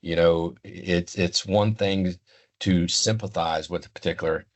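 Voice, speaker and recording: An older man giving unscripted, explanatory interview answers in a deliberate, halting way. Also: deep voice, steady tone. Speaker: interview guest, retired law enforcement communications expert.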